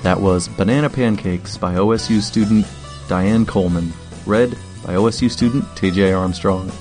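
A man gives scripted introductions in the role of program announcer. His voice is on the low side, with light guitar music playing behind him. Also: normal speed, a little mellow. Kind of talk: scripted radio-style introductions. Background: light plucked guitar music.

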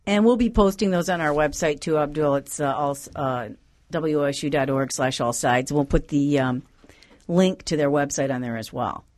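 A woman hosting a call-in radio talk show, reading out the station phone number and email in a brisk announcer cadence. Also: sits low, a little mellow. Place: radio studio.

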